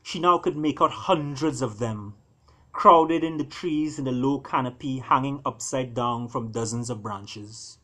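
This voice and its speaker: A man reading his own fiction aloud as a storyteller, with theatrical pauses and emphasis. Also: mid-range voice, pushed and bright. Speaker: author reading own novella. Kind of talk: storytelling, dramatic scripted narration.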